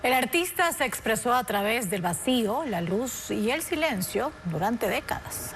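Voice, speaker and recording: A woman's voice: on the high side, animated, moderate pace. A woman reading scripted news teasers. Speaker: headline reader.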